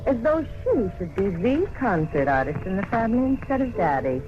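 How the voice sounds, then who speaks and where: A woman playing a dramatic, bickering daughter in a 1950s radio drama, theatrical and sharp-tongued. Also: mid-range voice, sing-song, normal speed, dull audio, close to an everyday voice. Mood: catty. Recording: band-limited old radio recording with music.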